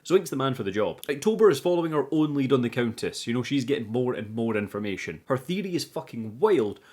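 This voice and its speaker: A man's voice: mid-range voice, very animated, fast talker, a little mellow, slightly rough. A man hosting a film review, narrating a scripted recap with irreverent, sweary, teasing commentary.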